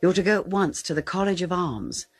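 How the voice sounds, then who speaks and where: A woman acting in a radio drama as a spy's brisk, lightly teasing secretary. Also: on the low side, husky, no echo. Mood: brisk, playful.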